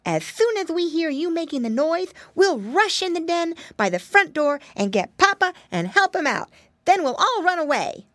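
A woman voicing an excitable little piggy boy who takes charge and lays out plans in a high, childlike voice. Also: moderate pace, no room sound, studio quality, heavily acted. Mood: eager.

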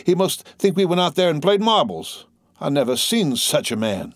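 A man's voice: medium pitch, animated, fairly quick, no room sound, studio quality, voice pushed up, natural voice. A man voicing an aggrieved young soldier, stubborn and complaining in a rustic dialect.

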